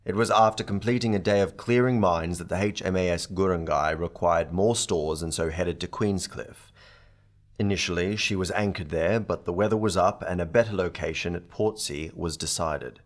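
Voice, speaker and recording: A courteous government lawyer acted by a man makes a formal address to an inquiry. The voice is fairly low, in a radio drama style. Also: normal speed, close to an everyday voice. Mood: formal, measured. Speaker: government counsel. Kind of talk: radio play acting.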